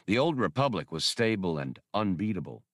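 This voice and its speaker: Man reading as a low-voiced, worldly adventurer. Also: big pitch swings, brisk, no echo, studio quality. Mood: knowing, casual.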